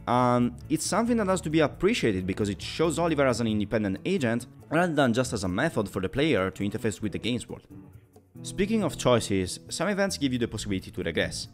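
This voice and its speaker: Male reviewer, mid-pitched and very animated in intonation, analytical and opinionated, scripted narration over background music. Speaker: video game reviewer.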